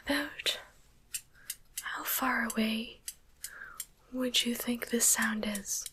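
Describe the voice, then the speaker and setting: A woman playing a gentle, courteous demon doctor in an ASMR roleplay, speaking in a soft breathy whisper with polite, unhurried questions. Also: fairly high pitch, fairly even intonation, a little hiss or hum. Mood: soothing, clinical. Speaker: ASMR performer.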